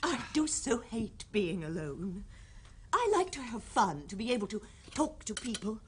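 An actress with an English accent playing a lonely, restless daughter of a manor in a radio drama. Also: female voice, on the high side, sing-song, unhurried, a bit bright. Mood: plaintive. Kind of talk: 1970s radio drama acting. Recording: noisy old radio play tape.

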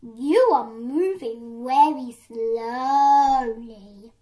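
A young girl acts out an arrogant storybook horse. The voice is very high and slow, stretching words and exclaiming with a boastful air. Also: mellow. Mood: mocking. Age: child. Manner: taunting, exclaiming, drawn-out words. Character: boastful mocking male horse, arrogant, later humbled.

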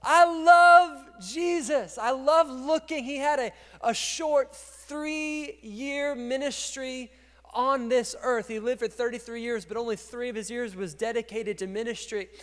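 Male pastor, 30s, higher register, energetic sermon delivery into a handheld mic, echoing church hall. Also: measured pace, clear, resonant tone. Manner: energetic preaching, gesturing and moving on stage, swings from quiet to loud. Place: large dark church stage. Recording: long reverb of a large church hall.

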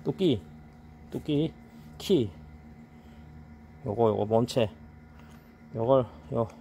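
A man's voice: medium pitch, mellow. A middle-aged man explaining farm machine repair while he works outdoors, in a blunt, colloquial way that slips into complaints. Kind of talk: casual how-to explanation while working. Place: outdoors on a gravel farmyard. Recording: outdoor ambient noise.

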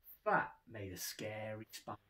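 A man in his thirties narrates a children's storybook. His delivery is very animated and takes its time, with audible room echo around the voice. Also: on the low side, measured pace. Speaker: teacher reading aloud. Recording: roomy indoor echo.

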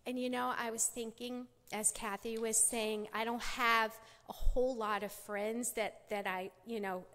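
A woman's voice: a little high, steady tone, unhurried, a bit bright, long reverb tail. A middle-aged American woman giving a talk at a church women's conference, telling stories in a chatty way with many fillers and restarts, speaking at a podium on a large stage. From United States. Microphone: podium mic.

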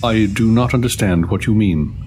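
A man's voice: lowish voice, normal speed, darkish tone, a little hiss or hum, lightly acted. A man playing a polite, literal-minded alien host program with a formal, precise, deferential butler manner in a sci-fi audio drama. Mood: polite, unruffled.

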